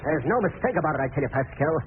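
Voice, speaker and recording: An actor playing an eager, slick accomplice to a crook in an old-time radio drama. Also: male voice, on the high side, lively intonation, racing, natural voice. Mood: urgent, conspiratorial. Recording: scratchy, tinny vintage radio recording.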